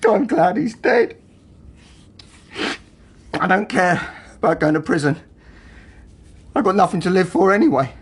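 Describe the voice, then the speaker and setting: An actor playing an embittered, broken working man making a halting, emotionally raw confession in a radio drama. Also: sits high, expressive, husky, full character voice. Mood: anguished hatred. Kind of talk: naturalistic radio drama acting.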